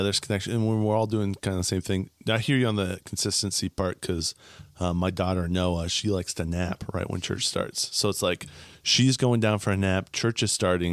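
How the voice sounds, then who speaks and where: A man in his thirties hosting a casual podcast interview, chatty and upbeat, speaking into a studio mic on a boom arm in a small home studio. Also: no echo. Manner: chatty, upbeat, frequent laughs and "bro". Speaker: podcast host. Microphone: studio mic on boom arm, headphones.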